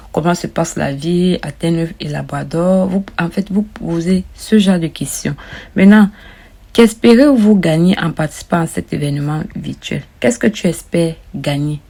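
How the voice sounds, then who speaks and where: A woman with a lowish, gravelly, mellow voice talks viewers through an online form. She repeats herself often and calls her audience friends. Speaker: immigration advice vlogger. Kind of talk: casual walkthrough of an application form.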